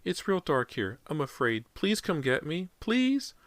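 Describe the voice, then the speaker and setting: A man voicing a frightened little boy who pleads and whimpers from somewhere dark. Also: fairly high pitch, big pitch swings, unhurried, no echo, clean studio sound, voice pushed up. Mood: frightened pleading.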